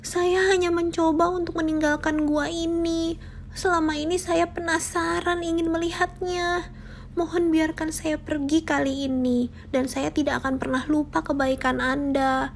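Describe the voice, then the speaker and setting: A woman's voice: very high voice, little pitch movement, normal speed, slight background noise, voice pushed up. A woman voicing a small, timid, polite mouse in a children's storybook tale. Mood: pleading. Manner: frightened, polite, pleading.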